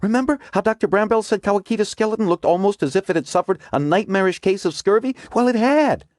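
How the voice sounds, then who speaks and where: A man's voice: high-pitched, animated, fast, a little mellow, no echo, studio quality, pitched above the performer's own voice. A man doing an urgent woman scientist. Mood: explaining. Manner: breathless, insistent.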